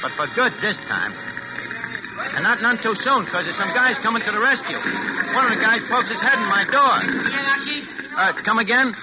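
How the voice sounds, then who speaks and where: A man's voice: high voice, animated, normal speed, pauses often, heavy background noise. An actor playing a shifty small-time crook in a 1940s radio drama, talking in tough-guy slang with a confiding, nervous manner. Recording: dull old radio recording with music.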